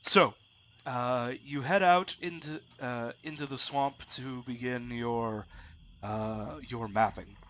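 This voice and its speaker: A man narrating as dungeon master of a tabletop game, halting with many fillers, congested with a lingering cough. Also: medium pitch, a bit bright. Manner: halting, many ums and uhs.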